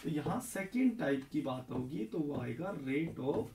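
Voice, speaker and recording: Hoarse young guy teaching chem at the board, high gravelly voice, mic kinda far off. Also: animated, unhurried, background noise. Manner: explains step by step, asks questions and answers them himself. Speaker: chemistry teacher. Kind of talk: whiteboard lecture. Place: indoor classroom in front of a green board.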